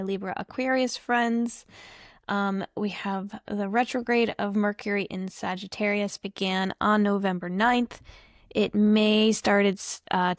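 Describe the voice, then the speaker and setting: Slightly hoarse, slow-talking woman tarot reader. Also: mid-range voice, no echo, clean studio sound. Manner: chatty and reflective, thinking aloud. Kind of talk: casual tarot reading to camera.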